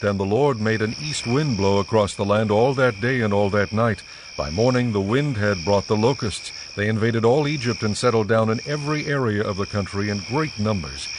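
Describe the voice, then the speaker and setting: A man narrates an audio Bible in a fairly low voice. He reads from a script, with clear ambient noise behind him.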